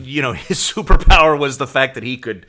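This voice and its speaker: A man's voice: medium pitch, animated, fairly quick, over loud music. A man co-hosting a podcast, explaining things in casual, agreeable and informative conversation. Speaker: podcast co-host.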